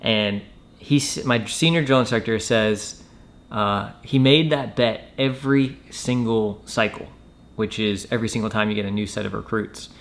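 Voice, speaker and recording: Male storyteller, lowish pitch with heavy vocal fry, casual and matter-of-fact, recounting a personal anecdote. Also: moderate pace, fairly bright tone. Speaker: storyteller, former Marine recruit.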